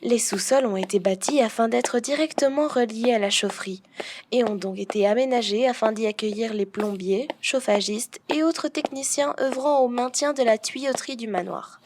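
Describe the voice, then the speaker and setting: A woman playing a strict, dutiful head housekeeper in a French audio roleplay, speaking in a prim, formal, measured way. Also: on the high side, background noise, natural voice.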